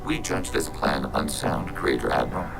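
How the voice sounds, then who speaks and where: Here is a machine intelligence from a video game. The voice is extremely deep and full of gravel, with synthetic processing. It speaks in clipped, formal statements without emotion, over music. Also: male voice, animated, normal speed, full character voice. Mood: flat, disapproving. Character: calm, logical machine intelligence, a Geth robot. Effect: synthetic robot voice processing.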